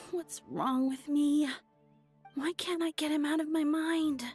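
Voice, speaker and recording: A dreamy young flower-shop girl speaks in a high, hesitant voice. She sounds lovestruck and easily flustered, in video game style with music faintly behind. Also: takes it slowly, darkish tone. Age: young adult. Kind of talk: video game character voice acting.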